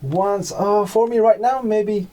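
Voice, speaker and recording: Hesitant young man, mellow voice. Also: high-pitched, steady tone, slow pace. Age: 20s. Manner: hesitant, many fillers and restarts. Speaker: guest. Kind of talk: casual chat. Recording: distant mic with faint background noise.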